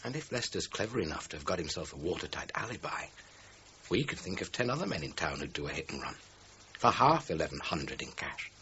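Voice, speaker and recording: Low-voiced, sardonic British police detective. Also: male voice, big pitch swings, bright, forceful tone, exaggerated voice. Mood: coolly accusing. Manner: measured, formal, sardonic. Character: senior male police detective, methodical, coolly accusing. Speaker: actor. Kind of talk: radio crime drama acting. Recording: muffled audio with faint noise.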